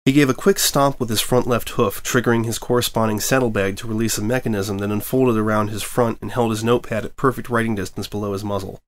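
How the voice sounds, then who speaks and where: A man's voice: fairly low pitch, steady tone, quick pace, hardly any pauses. Man narrating a story expressively. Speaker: fan fiction reader.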